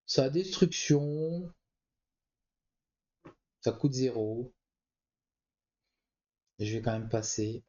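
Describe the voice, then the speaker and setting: A man's voice: medium pitch, lively intonation, extremely slow, long pauses, a little mellow, muffled recording. A man with a cold, thinking aloud as he comments on a card game he plays. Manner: thinks aloud, muttering choices. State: has a cold. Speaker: gameplay commentator. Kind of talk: relaxed let's-play commentary.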